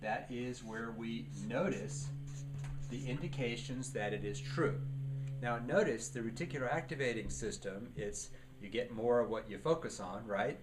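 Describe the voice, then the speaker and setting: A man's voice: mid-range voice, normal speed. A man giving a leadership training lecture, teaching by posing questions and answering them, with emphasis on key words. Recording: clearly noisy recording.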